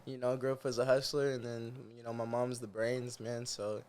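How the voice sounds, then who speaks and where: A man's voice: medium pitch, little pitch movement, moderate pace, darkish tone, smooth. A young man from the Seattle area, a guest musician chatting casually in a podcast interview, talking in slang and quoting himself. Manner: laid-back slangy talk, quotes himself.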